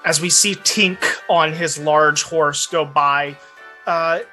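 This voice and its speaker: A man narrating a tabletop fantasy game as game master, with deliberate, dramatic phrasing. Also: a little high, fairly even intonation, pushed and bright. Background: background music.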